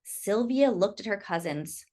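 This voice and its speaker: A woman reading a children's story aloud in an expressive, emphatic storytelling manner. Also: mid-range voice, unhurried. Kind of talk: expressive read-aloud narration with brief asides.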